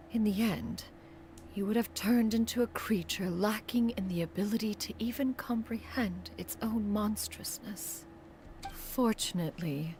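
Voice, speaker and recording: A woman's voice: medium pitch, gravelly, a little mellow. A woman voicing an ancient, aloof sage in a video game, speaking formally and with dignity. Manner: formal, stately, speaks of self as "one". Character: ancient aloof adeptus crane woman, dignified, sagely master. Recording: music behind the voice. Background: music.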